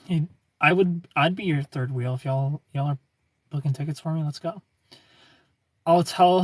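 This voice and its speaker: A man in his thirties hosting a casual livestream, reading viewer comments and greeting them by name in a smooth, laid-back way. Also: fairly high pitch, no echo. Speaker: livestream host.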